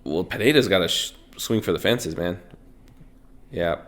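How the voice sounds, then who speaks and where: Man, co-host, nasal tone, low-ish pitch, casual excitable banter. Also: moderate pace. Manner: excitable, swings into loud reactions. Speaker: podcast co-host. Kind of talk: casual live fight-watch banter.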